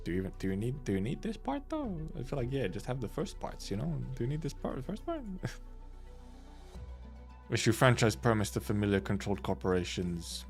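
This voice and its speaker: A man's voice: mid-range voice, huge pitch swings, quick pace, few pauses, mellow, faint music behind. Joking young man streaming a game read. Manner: casual, jokey asides with swearing. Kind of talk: live-streamed game story reading.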